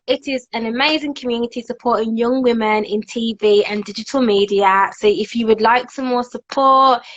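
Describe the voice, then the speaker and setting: Young woman, fairly high voice, muffled video-call sound, chatty, enthusiastic, interview guest. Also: fairly bright tone. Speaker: guest author. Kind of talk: casual live-stream interview. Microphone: phone front camera over video call.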